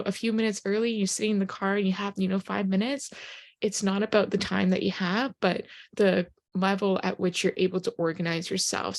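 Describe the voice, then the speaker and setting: A woman's voice: medium pitch, darkish tone. A woman in her twenties whose first language is Japanese gives an upbeat, earnest motivational recruiting talk on a business call. She speaks in English and leans on "you know" as a filler. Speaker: presenter on a business opportunity call.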